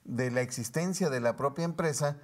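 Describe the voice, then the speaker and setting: A man's voice: medium pitch, moderate pace. A man with a Mexican accent speaking as a commentator on a panel talk show, explaining legal terms step by step in a didactic way.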